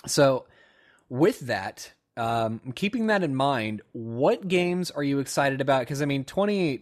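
A man chatting casually as a podcast host, with a playful manner. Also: mid-range voice. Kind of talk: casual chat.

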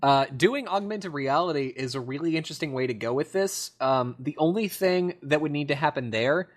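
A man's voice: on the high side, expressive, moderate pace. A man co-hosting a podcast, talking through technology in a casual, analytical way. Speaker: podcast co-host.

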